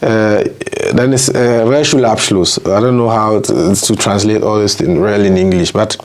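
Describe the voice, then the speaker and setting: A man from Ghana, a guest in an interview, telling his story in an earnest, halting way and dropping in German terms. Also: fairly low pitch, fairly even intonation.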